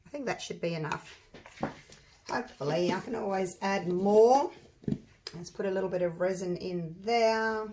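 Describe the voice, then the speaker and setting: Croaky Australian woman chatting through a craft. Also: mid-range voice, normal speed, noisy background. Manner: chatty, rambling, talks through each step. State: croaky, husky voice. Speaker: resin craft channel host. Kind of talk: casual craft tutorial talk.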